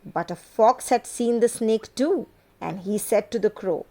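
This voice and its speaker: A woman narrating a children's bedtime folk tale in an unhurried, expressive storytelling manner. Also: mid-range voice.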